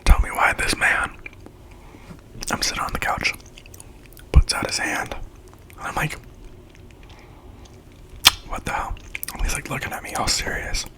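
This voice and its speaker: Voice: unhurried, pauses often. A speaker whispering a slangy, chatty storytime in ASMR style while eating, acting out quoted lines along the way.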